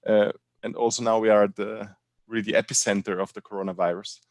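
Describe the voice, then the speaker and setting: Low-voiced man, dry sound. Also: little pitch movement, dry recording. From Italian-speaking Switzerland. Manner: earnest, halting with many fillers. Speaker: panel guest, brand co-founder. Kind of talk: online panel introduction.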